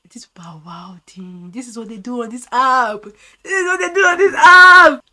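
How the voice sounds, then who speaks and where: Brief: young Nigerian woman, vlogger, bright lively voice, high and animated, quick to laugh out loud. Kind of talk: casual video chat commentary.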